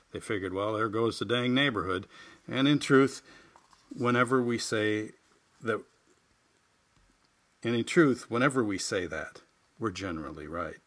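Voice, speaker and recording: Bright-voiced, low-pitched folksy man. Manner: wry, folksy, self-deprecating. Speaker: writer and podcast host. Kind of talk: reading a humorous personal essay and chatting informally.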